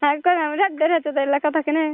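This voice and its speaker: A woman's voice: high-pitched, fairly even intonation, rapid-fire, dry recording. A woman chatting casually and flirtatiously on a phone call in a rural Bengali dialect. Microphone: telephone. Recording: muffled narrow-band phone call.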